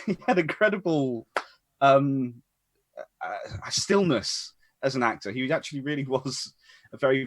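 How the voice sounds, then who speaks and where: British guy hosting a chat, medium pitch, rambles and restarts, dry sound. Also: a bit bright, dry recording. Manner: rambling, stop-start, repeats phrases. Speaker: host of an online tribute discussion. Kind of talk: casual reminiscing chat.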